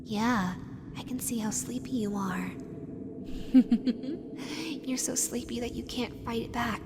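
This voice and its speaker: Woman voicing a high-pitched, sweetly creepy animatronic fox. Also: slow pace, noisy background. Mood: soothing, sinister. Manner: dreamy, lingering, repeats words softly. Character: lonely, unhinged, possessive animatronic fox, childlike and sweetly sinister. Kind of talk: scripted audio roleplay addressed to the listener. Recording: long hall-like reverb, music underneath. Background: background music.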